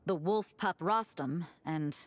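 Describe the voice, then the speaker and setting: An actress playing a composed, wry, knowing woman in a video game, speaking in an articulate, measured and dry manner. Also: on the low side, no room sound. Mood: storytelling. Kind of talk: video game character acting.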